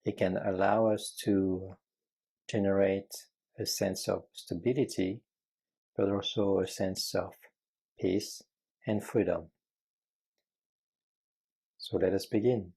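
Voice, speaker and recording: A man guiding a meditation with calm, gentle, measured instruction and frequent pauses between phrases. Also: deep voice, slightly rough, low-quality recording. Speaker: meditation guide.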